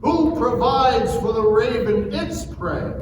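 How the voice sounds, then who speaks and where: A man playing a very slow, stern, majestic God. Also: extremely high pitch, expressive, far from the mic, full character voice. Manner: deliberate, declamatory, challenging. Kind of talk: dramatic scripture reading. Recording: echoey hall from afar, noisy.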